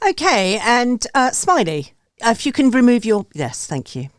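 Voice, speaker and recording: A woman's voice: on the high side, very animated, measured pace, no room sound, clean studio sound. A woman hosting a panel discussion in an unscripted, conversational way. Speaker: host of music review panel.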